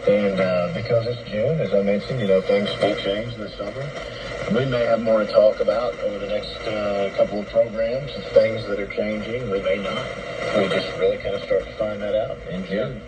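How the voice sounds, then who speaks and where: A man hosting a casual radio station talk show, speaking in a measured, halting way. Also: on the low side, steady tone. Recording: noisy shortwave radio broadcast.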